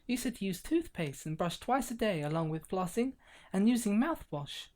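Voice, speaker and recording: A woman voicing an expressive guide who speaks directly to a child in an animated story. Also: mid-range voice, normal speed, natural voice. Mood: friendly. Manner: expressive, addressing the listener directly. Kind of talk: scripted children's animation narration.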